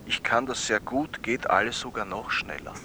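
Young viennese student phoning a radio show, serious and insistent, crisp forceful tone, slight background noise. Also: male voice, medium pitch, normal speed. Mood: animated recounting. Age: young adult. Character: earnest, insistent young medical student caller. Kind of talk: radio drama acting, dream storytelling.

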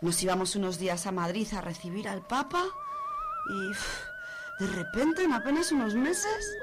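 A woman's voice: on the high side, wildly swooping pitch, normal speed, heavy background noise. A woman with a Spanish accent from Spain playing an anguished, pious, posh young university student in a dramatic, sighing radio soap monologue. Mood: anguished, confused. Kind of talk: radio soap opera acting. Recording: music under the voice.

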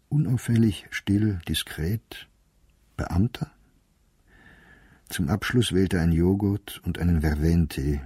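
A man reading a first-person diary as a scripted radio piece, in a measured, calm and detached way, recorded close in a studio. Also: low-pitched, breathy edge, no room sound.

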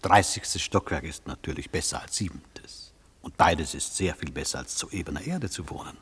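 A deep, very husky court official. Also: male voice, normal speed, fairly bright tone, in a whisper, exaggerated voice. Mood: dry, probing. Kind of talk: 1970s radio drama acting. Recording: reverberant courtroom hall.

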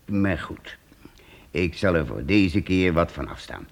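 An actor playing a kindly, excitable priest in a radio play, often exclaiming in surprise. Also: male voice, low-pitched, takes it slowly, gravelly, long reverb tail, exaggerated voice. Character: kindly fussy middle-aged male priest. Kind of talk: radio drama acting.

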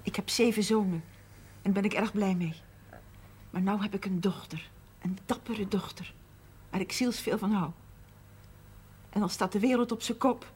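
A woman's voice: mid-range voice, normal speed, pauses often, darkish tone, natural voice. An actress playing a devoted, resolute older mother in a naturalistic television drama, speaking firmly. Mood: loving, resolute. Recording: noisy old television broadcast audio.

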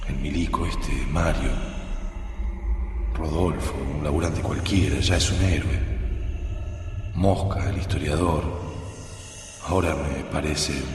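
Un actor argentino que interpreta a un combatiente de la resistencia reflexivo, narrando su monólogo interior en un radioteatro. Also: male voice, a bass voice, steady tone, a little slow, long pauses. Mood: solemn, hopeful. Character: Juan, reflective male resistance fighter telling his story. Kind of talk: radio drama inner monologue. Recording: echoey long reverb, music underneath.

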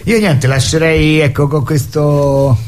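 A man's voice: on the high side, flat delivery, takes it slowly, darkish tone. Guy in a casual theatre interview, rambles and restarts, bit of noise behind him. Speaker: theatre performer and guest.

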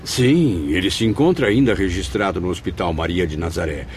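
A man's voice: low voice, animated, fairly quick, darkish tone, slight background noise. A man acting an anguished, compassionate male spirit in a radio drama, pleading and lamenting with dramatic delivery. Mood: troubled, earnest. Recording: music under the voice. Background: background music.

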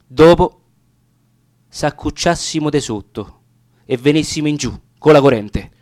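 A man with a Roman accent reciting narrative dialect verse theatrically, with deliberate, expressive pauses. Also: a little high. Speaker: actor reading poetry.

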